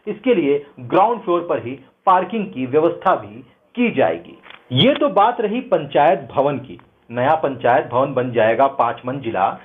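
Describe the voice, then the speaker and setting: A man hosting a news show, delivering scripted commentary in an emphatic, rhetorical way. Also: a little high. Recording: narrow phone-like band, thin sound.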